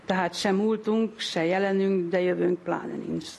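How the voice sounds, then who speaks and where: A woman's voice: on the low side, fairly even intonation, gravelly, a little mellow. A middle-aged or older woman telling a personal story in her own spontaneous words as an interviewee. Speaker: interviewee, relative of a patient.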